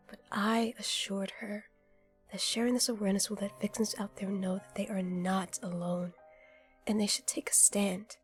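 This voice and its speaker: A woman's voice: mid-range voice, a little mellow, close to an everyday voice. A woman playing a blunt, earnest and wounded heroine in an audio drama. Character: blunt, intense, wounded heroine, protective of victims. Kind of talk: fan audio drama acting, dramatic monologue.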